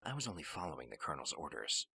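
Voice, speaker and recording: A man voicing a gruff, world-weary special forces operative in a radio drama, terse and sardonic, with a gravelly, husky voice. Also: rumbling low, very animated, racing, heavily acted. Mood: dry, sardonic. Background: loud music.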